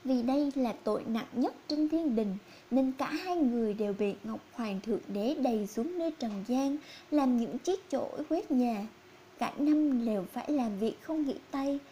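A woman's voice: sits high, warm tone, slight background noise. A woman narrating scripted fairy tales for young children as a storyteller.

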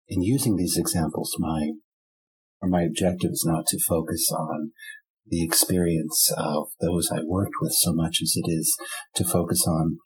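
A man whose first language is German speaks as an author being interviewed. His voice sits very low and sounds husky and rough-edged. He answers in a measured, reflective way, pausing often and adding fillers as he gathers his thoughts. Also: poor audio.

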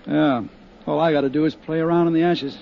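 An actor playing a steady, practical male investigator who talks in a measured, matter-of-fact way, in a muffled 1950s radio drama. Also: fairly high pitch, gravelly. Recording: muffled 1950s radio broadcast with faint hiss.

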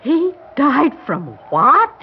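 An actress playing a bewildered, grieving elderly grandmother in a radio drama, halting and repeating herself in a quavering voice. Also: sits high, very animated, exaggerated voice. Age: older. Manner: halting, repeating words in distress. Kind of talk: old-time radio drama acting. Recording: dull, hissy classic radio recording.

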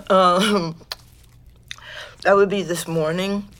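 A woman's voice: lowish voice, fairly even intonation, fairly bright tone, no echo. Streetwise, weary ex-con woman, confiding. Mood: dry, guarded. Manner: conversational, halting, profane slang. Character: streetwise, weary ex-convict woman, frank and wistful. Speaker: lead actor and narrator in scripted fiction podcast. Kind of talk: intimate first-person audio drama narration.

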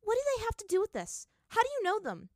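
Woman playing high-pitched, defiant teenage girl fighter. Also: sing-song, fairly quick, no echo, clean studio sound. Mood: perplexed, defensive. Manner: firm, challenging. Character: defiant, wary teenage girl firebender, firm and resolute.

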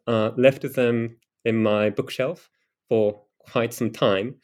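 A middle-aged man from Japan, a political theorist answering interview questions in a careful, measured way. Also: mid-range voice, a little mellow. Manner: careful, measured explanation with occasional fillers.

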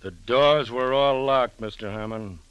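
A man's voice: medium pitch, unhurried, clean tone, dry recording, dull audio, close to an everyday voice. A man playing a skeptical police lieutenant in a 1940s radio drama, giving facts in a clipped, official way. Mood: dry, official. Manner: factual, clipped reporting. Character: matter-of-fact, skeptical male police lieutenant.

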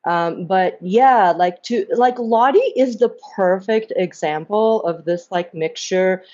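A woman guest joining by video call, relaxed and musing, with lots of "like". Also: medium pitch, takes it slowly, muffled recording. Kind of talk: casual chat.

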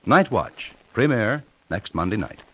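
A man's voice: lowish voice, very animated, unhurried, a bit bright, slightly rough. A man announcing in a formal, emphatic 1950s radio style, reading a scripted promo. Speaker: network announcer. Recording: muffled vintage radio broadcast.

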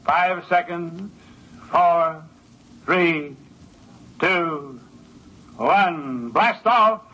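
A man playing a brisk, officious space traffic control operator, clipped and procedural, in 1950s radio drama style. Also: fairly high pitch. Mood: tense countdown. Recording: dull old radio, clear engine noise.

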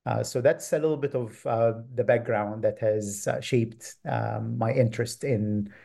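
Middle-aged Egyptian-born male scholar, warm low-key tone, halting thoughtful answers. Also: vocal fry. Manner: thoughtful, many ums and uhs. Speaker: guest author, Old Testament professor. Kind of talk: academic interview answers.

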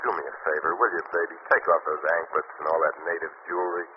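A man announcing and acting in 1940s radio drama style, dramatic and often shouting. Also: deep voice, flat delivery, fast, natural voice. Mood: uneasy. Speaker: announcer and actor. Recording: muffled old radio broadcast with hiss.

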